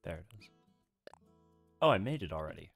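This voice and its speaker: A man's voice: low voice, sing-song, gravelly, fairly bright tone, faint music behind. A man streaming a game, chatting casually and hesitantly with many ums while he has a cold. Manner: halting, full of ums, nervous laughs. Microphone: desk microphone.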